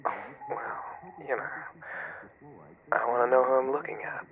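A man playing an obsessed serial killer in a horror ASMR roleplay, coaxing and menacing in a soft, often whispered voice with false friendliness. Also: mid-range voice, little pitch movement, normal speed, phone-quality sound. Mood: coaxing, falsely friendly. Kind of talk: ASMR horror roleplay, 90s slasher pastiche.

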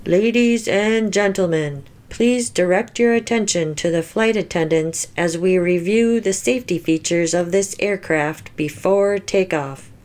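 A woman's voice: on the low side, unhurried, hardly any pauses, a little mellow, natural voice. A woman voicing a polite, formal airline cabin announcer for a children's picture book. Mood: calm, official.

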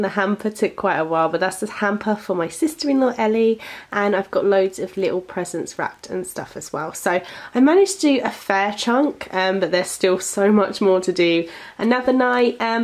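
A young woman, chatting casually to camera in her living room while congested from a head cold. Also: mid-range voice. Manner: chatty, giggly. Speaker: vlogger. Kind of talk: casual vlog chat. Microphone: handheld camera at arm's length.

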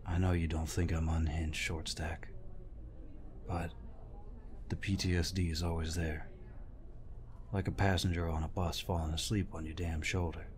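Man playing a deep-voiced, protective older veteran. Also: little pitch movement, normal speed, pauses often, a little mellow, slight background noise, natural voice. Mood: troubled, confessing. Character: gruff, protective older war veteran, tender and teasing. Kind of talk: intimate audio roleplay acting.

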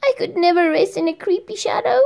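A woman voicing a small, earnest boy who plays at being a knight, asking anxious, pleading questions in a raised, high voice. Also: a little fast, creaky, darkish tone.

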